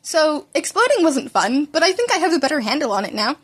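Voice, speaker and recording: A woman voicing an excitable, polite teenage boy hero-in-training who rambles and stammers, in a story reading. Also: high voice, a little fast. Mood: chatty, thoughtful.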